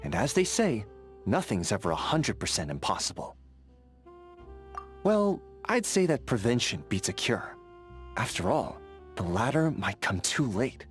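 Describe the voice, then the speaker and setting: Earnest, animated young fantasy sentry. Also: male voice, on the low side, a little slow, long pauses, soft background music. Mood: earnest, cautious. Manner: earnest, conversational, methodical. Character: earnest, vigilant young male fantasy sentry, friendly but overcautious. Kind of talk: video game character dialogue.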